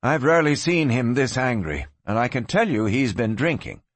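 A man's voice: mid-range voice, big pitch swings, normal speed, dry recording. A man voicing a strong-minded, defiant young woman in a Western storybook. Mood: worried, reluctant. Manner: firm, indignant, cautioning. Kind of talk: dramatised audiobook reading.

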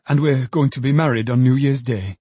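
A man voicing a young male smith who answers quickly and defiantly in a storybook reading. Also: medium pitch, steady tone, warm tone, breathy edge, dry recording, natural voice. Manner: quick, nettled.

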